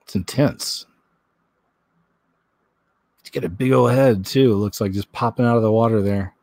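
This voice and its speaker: A man's voice: lowish voice, normal speed, darkish tone, no echo. A man hosting a vlog, commenting on clips and news in a casual, folksy, rambling way. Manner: folksy, rambling, repeats himself.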